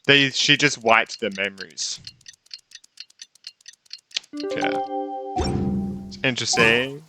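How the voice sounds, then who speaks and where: A man's voice: mid-range voice, slow pace, over music. A man streaming a video game, giving casual commentary as he plays. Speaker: gaming streamer playing through a story quest.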